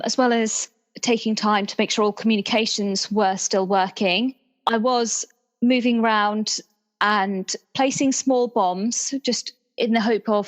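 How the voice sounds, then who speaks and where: A woman's voice: a little high, close to an everyday voice. A woman playing a dutiful, formal, apologetic spy in an improvised audio roleplay, recorded with long reverb. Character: apologetic, dutiful female spy explosives agent. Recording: reverberant room with long echo.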